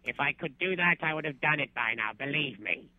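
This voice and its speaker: A man voicing a scheming young male villain leader with a deadpan, matter-of-fact delivery. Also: on the high side, brisk, heavily acted, rough recording.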